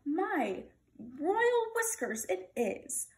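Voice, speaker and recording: A woman voicing an excitable, bossy, greedy storybook king in an imperious, exclamatory manner. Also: sits high, very slow, pitched above the performer's own voice, full character voice. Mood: gloating delight.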